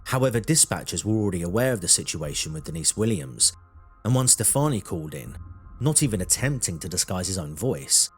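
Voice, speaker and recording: A man narrating a scripted true crime documentary in a measured, dramatic storytelling manner. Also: low-pitched, sing-song, slightly rough, faint music behind.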